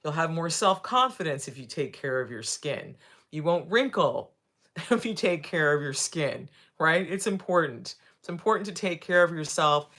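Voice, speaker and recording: A woman's voice: low-pitched, expressive, moderate pace, a bit bright. A sixty-year-old woman hosting a live video, talking casually as she demonstrates, with frequent tag questions and repeated phrases. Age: 60s. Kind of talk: casual instructional talk.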